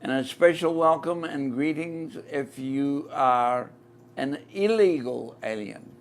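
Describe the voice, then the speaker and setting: New Zealand man as a live-stream host, unhurried and gravelly, faint room hum behind. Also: medium pitch. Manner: slow, deliberate, repeats the shop address. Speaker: podcast host. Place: inside a flying saucer-themed shop.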